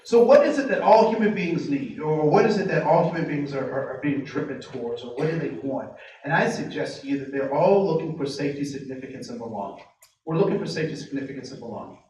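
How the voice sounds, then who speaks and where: A man giving a workshop to a live group, asking the audience many rhetorical questions and slowing down to stress key phrases. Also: on the high side, lively intonation, a little mellow. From United States. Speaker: diversity trainer. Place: training room with tables. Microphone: distant mic picking up the room. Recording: very echoey room, speaker far from mic, faint noise.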